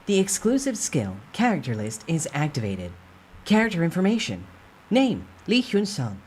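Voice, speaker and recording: A woman voicing an impersonal game-like system announcer, formally reading out stats in measured phrases. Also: fairly low pitch, wildly swooping pitch, darkish tone, slight background noise.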